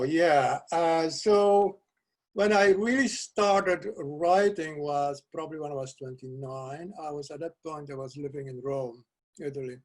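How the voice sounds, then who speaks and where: An older man, a Dutch native speaker from South America, talking as a guest poet over a video call from a cluttered home office, soft-spoken and hesitant with restarts. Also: fairly high pitch. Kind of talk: casual video call interview. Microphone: video call webcam.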